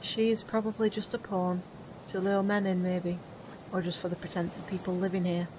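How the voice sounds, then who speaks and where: A woman playing a composed witch in an audio drama, with a measured, formal way of talking. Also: fairly low pitch, level tone, natural voice. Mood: grave, steady. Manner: measured, formal, avoids contractions. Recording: faint background noise and ambience.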